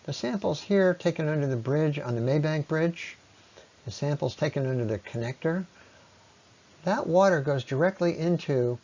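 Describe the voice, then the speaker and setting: A man giving an explanatory slide presentation as a lecturer, often pausing mid-sentence as he explains. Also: medium pitch, a little mellow, highs cut off.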